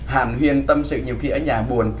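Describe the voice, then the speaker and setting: A man's voice: medium pitch, steady tone, fairly quick, background noise. A man acting as interpreter, explaining community services with frequent fillers. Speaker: co-host interpreter. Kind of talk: explaining in Vietnamese.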